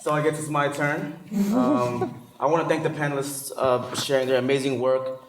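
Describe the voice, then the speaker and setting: Man, panel speaker, earnest and conversational, mid pitch, distant echoey hall. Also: moderate pace, a crowd in the background, noisy background. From Cambodia. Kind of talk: personal story on a panel. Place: large hall.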